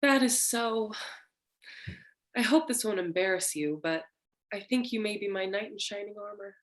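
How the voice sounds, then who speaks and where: Actress doing a noir damsel in distress, seductive and scared, drags words out all dramatic, stage reading. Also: female voice, mid-range voice, moderate pace, lightly acted. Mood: admiring, flirtatious. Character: frightened, seductive femme fatale wife, breathy damsel in distress.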